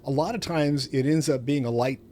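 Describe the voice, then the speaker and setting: A man's voice: medium pitch, a little fast, mellow. A man hosting a casual game stream, rambling along in a self-deprecating way. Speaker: streamer, show host. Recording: clearly noisy home stream audio.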